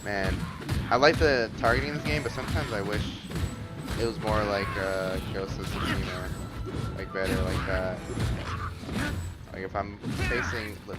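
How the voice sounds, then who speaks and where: A man hosting a gaming video with casual, reactive, exclamatory commentary. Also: lowish voice. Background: game music.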